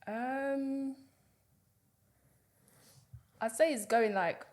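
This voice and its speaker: A woman's voice: high-pitched, extremely slow, darkish tone. A woman in her twenties, originally from Guyana, chatting as a podcast guest, candid and a little shy, often breaking into giggles. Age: 20s. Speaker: guest, fitness client. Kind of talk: casual interview chat.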